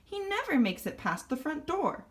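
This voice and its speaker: A woman's voice: high voice, very animated, moderate pace, pitched above the performer's own voice. A woman voicing a bossy, matter-of-fact female class pet in a storybook, emphatic and know-it-all. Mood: dismissive.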